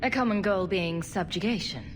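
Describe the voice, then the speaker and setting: A woman playing a composed, diplomatic female champion in a fantasy video game, measured and probing. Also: medium pitch, soft background music, lightly acted. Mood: skeptical. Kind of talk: video game cutscene acting.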